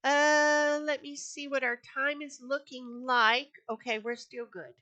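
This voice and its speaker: A woman walks through a craft project in a high, unhurried voice, chatting and repeating herself as she works. She sounds a little sniffly from allergies. The sound is close and dry. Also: steady tone, sharp tone, no echo. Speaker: craft channel host. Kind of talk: casual crafting tutorial. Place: home craft room.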